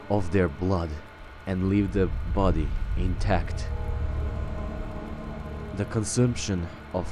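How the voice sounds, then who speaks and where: A man with a lowish voice plays through a roleplay game, talking in character and reading text aloud. He is slow and halting, with music behind him. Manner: hesitant, halting. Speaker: gaming video host, roleplaying as the player.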